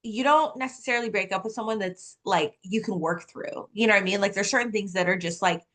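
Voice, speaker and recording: A woman's voice: mid-range voice, fast. A woman, chatting casually as an author guest in an interview and telling things with animation, often saying "like". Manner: animated storytelling, heavy use of "like".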